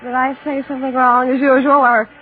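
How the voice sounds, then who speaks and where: A woman's voice: sits high, flat delivery, brisk, slight background noise, close to an everyday voice. An actress playing a brash, gabby, drunk young American woman in 1940s radio drama style. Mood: mocking. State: acting drunk. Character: brash, gabby, tipsy blonde office girl, needling. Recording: tinny 1940s radio recording.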